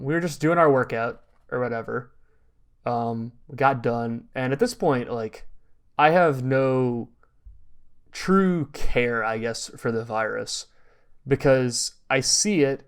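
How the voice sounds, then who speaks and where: A young man with an American accent talks casually on a podcast. His voice is clean and resonant at a middling pitch. He tells things in a loose, rambling, conversational way. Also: clean tone. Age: young adult. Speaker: podcast co-host.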